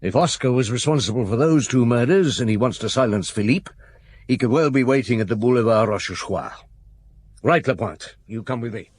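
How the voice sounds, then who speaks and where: A calm police chief in a radio drama, clipped orders, lots of hmms and uhs. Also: male voice, mid-range voice, husky. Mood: brisk. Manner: clipped, measured orders with frequent hums and uhs. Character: calm, terse male police chief inspector.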